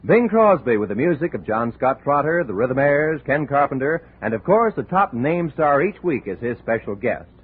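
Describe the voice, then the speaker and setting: Husky announcer, lively pitch, old radio sound. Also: male voice, moderate pace, hardly any pauses. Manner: smooth promotional delivery. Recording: tinny old radio broadcast.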